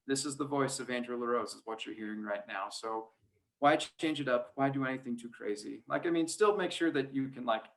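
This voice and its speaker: A man's voice: mid-range voice, a little fast, gravelly, poor audio. A man who works as a play-by-play announcer, chatting casually and without affectation in an interview. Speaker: high school sports radio play-by-play announcer.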